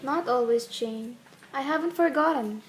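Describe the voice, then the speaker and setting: An actress playing a poised, gentle, candid young woman with a measured, genteel manner in a radio play. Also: high voice, natural voice. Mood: gentle, tactful. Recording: faintly noisy radio play recording.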